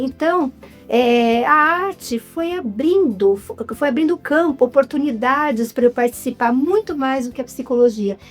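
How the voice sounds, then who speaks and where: A woman in her fifties with a Brazilian Portuguese accent, an actress chatting casually as an interview guest, now and then turning to the audience. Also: on the high side. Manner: lively, addresses the audience as "gente".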